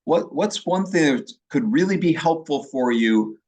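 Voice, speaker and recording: A man with an Upper Midwest American accent from Milwaukee, a professor leading an online class orientation in a chatty way, with restarts and pauses. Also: on the high side, animated, darkish tone. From Milwaukee, Wisconsin. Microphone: video call.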